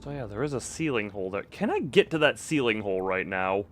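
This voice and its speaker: A man's voice: lowish voice, sing-song, a little fast, faint music behind. A man doing casual live gameplay commentary, thinking aloud in a playful, muttering way and repeating phrases to himself. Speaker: game streamer.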